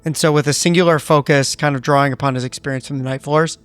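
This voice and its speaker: A man's voice: fairly high pitch, fairly even intonation, fast talker, fairly bright tone, clear, resonant tone, soft background music. A man chatting casually as a player in a tabletop roleplaying game.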